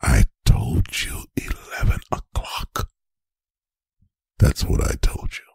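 A man playing a stern, angry, domineering boyfriend in a whispered ASMR roleplay, breathy and gravelly, with slow, deliberate, menacing phrasing. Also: darkish tone, dry recording, clean studio sound, lightly acted. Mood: menacing scolding. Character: stern, angry, domineering boyfriend scolding his adult partner. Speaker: ASMR voice performer. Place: bedroom, close and dry.

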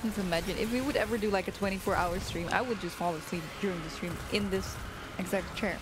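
A young woman with a Dutch accent chats playfully on a game stream, breaking into giggles between remarks. Her voice sits at an ordinary pitch, and a clear layer of background noise runs under it in the small stream room. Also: fast. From Netherlands. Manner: giggly, playful, laughs often. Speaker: community manager co-host of a game stream. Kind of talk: casual chat while playing a game.

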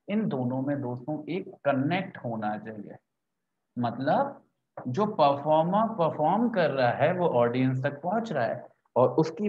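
A young man gives an explanatory lecture in a fairly high voice. He repeats key points and asks questions that he then answers. The sound is slightly dull and lo-fi. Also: a little mellow. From India. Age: young adult. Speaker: law teacher. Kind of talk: lecture to camera, Hindi mixed with English terms. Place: small indoor room with a whiteboard. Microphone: lapel mic.

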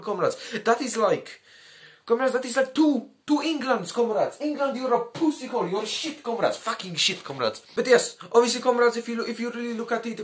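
A man's voice: high voice, a bit bright. A man delivering an informal political commentary as a brash, boastful comic communist persona, swearing freely and addressing listeners as comrades. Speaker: video host.

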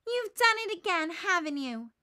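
A woman's voice: very high voice, big pitch swings, moderate pace, sharp tone, voice pushed up, full character voice. A woman voicing an indignant little girl from a storybook, scolding and pointed. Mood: fuming.

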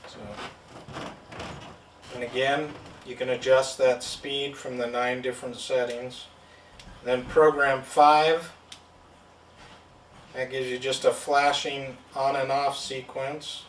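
A man's voice: mid-range voice, flat delivery, slow, pauses often, fairly bright tone, far from the mic, slight background noise. A man giving a plain, step-by-step product demonstration at a table indoors. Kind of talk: hands-on product demo. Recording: roomy sound from off-mic.

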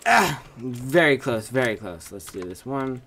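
Teen guy yelling over his minecraft run at a desk mic, voice bouncing high and low, talks slow between the squeals. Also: very animated, slight background noise. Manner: shouts and squeals when failing or winning, reads signs aloud. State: losing his voice. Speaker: gaming video creator. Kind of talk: excited gameplay commentary. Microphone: desk mic with webcam.

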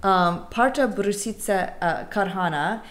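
A woman guest in an interview, telling stories in an animated, conversational way with quick asides. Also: mid-range voice, roomy sound. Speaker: casting director and theatre director, guest.

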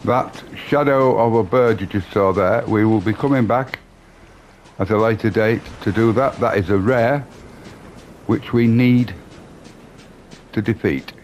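A man's voice: fairly low pitch, a little slow, long pauses, darkish tone, slight background noise. A man hosting a gaming channel, giving walkthrough commentary over a video game.

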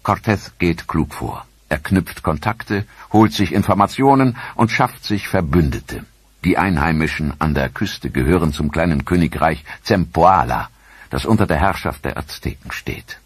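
A man narrating a documentary in a dramatic, expressive scripted manner with rhetorical questions. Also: sits low, moderate pace, slightly rough, no room sound.

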